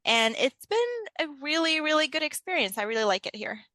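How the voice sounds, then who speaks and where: A woman's voice: sits high, expressive, a little fast, bright, forceful tone, dry recording. A woman with a Lebanese Arabic accent, speaking casually as a coach being interviewed. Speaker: interview guest, leadership coach.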